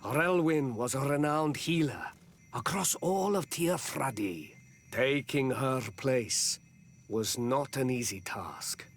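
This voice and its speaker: An actor playing a measured male clan chief in a video game, solemn and deliberate. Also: mid-range voice, lively intonation, slight background noise. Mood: sombre. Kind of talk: video game cutscene acting.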